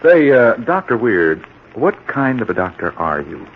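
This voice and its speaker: A male radio announcer delivering a polished, persuasive sponsor pitch in 1940s style. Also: big pitch swings, unhurried, over loud music. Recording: tinny, hissy old radio recording.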